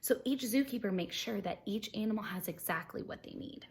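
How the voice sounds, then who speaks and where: Expressive woman teacher reading aloud to children. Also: medium pitch, fairly quick. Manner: expressive, simple explanations addressed to young pupils. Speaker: elementary school teacher reading a picture book aloud. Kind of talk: children's read-aloud with questions to listeners.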